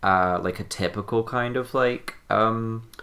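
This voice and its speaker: Female podcast co-host, extremely low voice, halting, filler-heavy conversational delivery. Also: fairly bright tone. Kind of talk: casual group chat.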